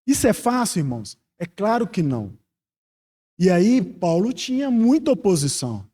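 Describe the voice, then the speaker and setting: A man's voice: sits high, sing-song, normal speed, warm tone. A man with a Brazilian accent preaching an expository Bible sermon, earnest and reflective, putting questions to his listeners.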